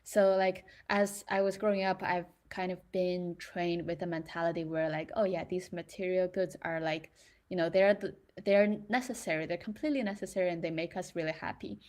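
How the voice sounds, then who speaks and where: A young woman from China speaks in a thoughtful, casual way. She often pauses with fillers and restarts her sentences as she works out her ideas. Her voice sits on the low side. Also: steady tone, a little mellow. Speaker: creator in residency. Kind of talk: reflective casual talk.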